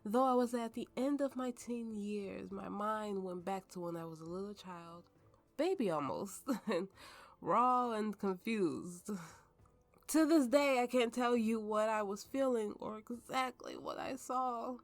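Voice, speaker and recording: A woman playing a tipsy, haunted woman with magical powers, telling her story slowly and haltingly in an intimate ASMR roleplay over music. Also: medium pitch, natural voice. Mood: haunted, wistful. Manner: halting, drunken rambling with frequent laughs. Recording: voice over soft background music.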